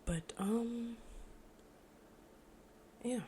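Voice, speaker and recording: A woman's voice: fairly high pitch, extremely slow, dark tone. An American woman in her twenties talking informally and reflectively in a casual solo podcast monologue. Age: 20s. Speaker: podcast host. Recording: noticeable background noise.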